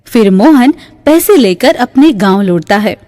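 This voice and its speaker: Slow, bright woman storyteller with reverb. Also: on the high side, expressive. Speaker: story narrator. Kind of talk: slow scripted moral-story narration for a cartoon. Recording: long reverb.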